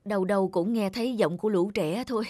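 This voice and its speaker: A man's voice: high voice, fast. An actor playing a devoted, sorrowful adoptive father in a cartoon, earnest and caring. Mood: lonely. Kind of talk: animated moral tale dubbing. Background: loud background music.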